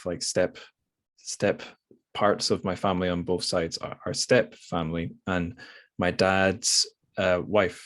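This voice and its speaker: A Scottish man hosting a podcast, talking casually in a rambling way with many restarts and fillers. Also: sits low. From Scotland. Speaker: podcast host. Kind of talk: casual conversation.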